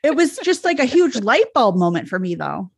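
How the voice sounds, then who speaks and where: A woman's voice: on the high side, sing-song, moderate pace, gravelly, husky, no room sound, clean studio sound. A woman from the Boston area with a faint Boston accent, co-hosting a podcast in casual chat and laughing often. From Boston area, USA. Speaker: podcast co-host.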